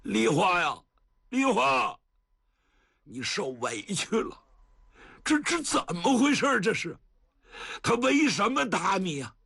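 A male storyteller voicing a hot-tempered old general who sputters and shouts in a high, strained voice. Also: big pitch swings, a little slow, slightly rough, dry recording, studio quality, exaggerated voice. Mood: tender pleading.

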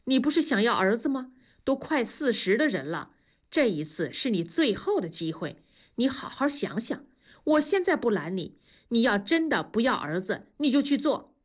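A woman voicing a stubborn, domineering older mother-in-law who talks fast and insists on getting her way. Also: on the high side, sing-song. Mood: coaxing. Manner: bossy, insistent, quick-tongued.

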